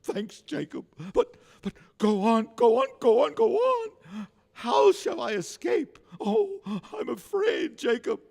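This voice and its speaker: An actor playing a frightened old miser, stammering and pleading in old-time radio drama style. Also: male voice, very high voice, very animated, takes it slowly, gravelly, a little mellow, full character voice. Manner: stammering, exclaiming.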